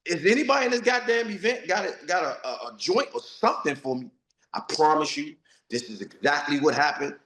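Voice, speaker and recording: A man's voice: fairly high pitch, lively intonation, brisk, fairly bright tone, roomy sound, rough recording. A man of forty, a retired boxer, giving blunt, streetwise interview answers and repeating himself for emphasis. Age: 40s.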